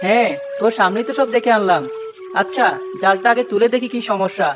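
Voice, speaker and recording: A man playing an earnest, practical village fisherman in an animated story. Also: high voice, quick pace, music in the background, close to an everyday voice. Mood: puzzled, wary.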